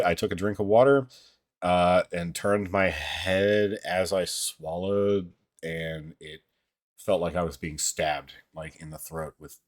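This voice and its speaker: A man with a deep, somewhat monotone voice, chatting casually as a livestream host in a rambling, halting way with plenty of swearing. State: voice somewhat out of sorts. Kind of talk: casual livestream chat, reading viewer comments.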